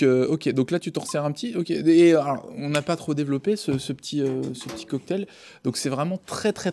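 A man of middle pitch leads a relaxed interview. He speaks informally and often nods along with a quick "ouais" or "d'accord" between his questions. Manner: friendly, informal, many short acknowledgements. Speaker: interviewer, host. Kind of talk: casual interview talk.

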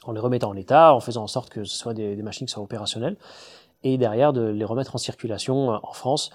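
A man who runs a distillery, answering interview questions in a halting, filler-heavy way with frequent restarts. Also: medium pitch. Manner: hesitant, many fillers and restarts. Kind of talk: conversational interview.

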